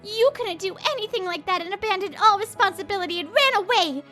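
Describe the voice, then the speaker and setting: A blunt, fierce woman from a manga video who tears into the man who wronged her, as a woman acts her out. Her voice is very high, with music playing clearly underneath. She scolds sharply and speaks softly when she confides. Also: fast talker. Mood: angry.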